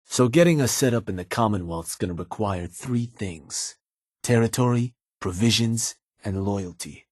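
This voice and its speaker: An actor playing a sly, cynical gang advisor in a video game, with a husky, laid-back, slangy delivery and an undertone of menace. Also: male voice, moderate pace, darkish tone, no room sound, studio quality, lightly acted. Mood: coaxing, matter-of-fact. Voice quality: husky, low. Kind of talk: video game character dialogue.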